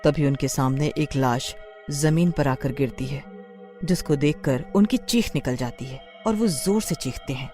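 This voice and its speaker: A woman's voice: low voice, measured pace, mellow, soft background music. A woman narrating a scripted horror story as a storyteller.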